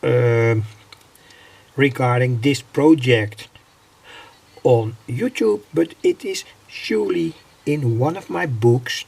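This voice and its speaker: A man with a Dutch accent, a native Dutch speaker, explaining a homemade device in an unscripted, halting and deliberate way, often pausing mid-sentence. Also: medium pitch, creaky, darkish tone, breathy edge. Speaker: electronics hobbyist presenter.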